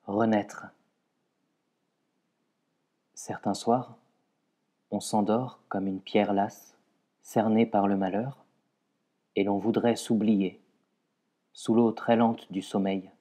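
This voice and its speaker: Man, poetry reading, slow pace, lowish pitch, measured phrasing with pauses. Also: flat delivery, warm tone. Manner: measured, pausing between short phrases. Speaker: poetry reader. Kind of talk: poetry recitation.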